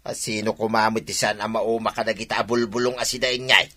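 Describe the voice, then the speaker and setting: A man's voice: fairly low pitch, level tone, brisk, sharp tone. A man acting in a radio drama as a lazy, idle husband, grumbling and defensive as he whines.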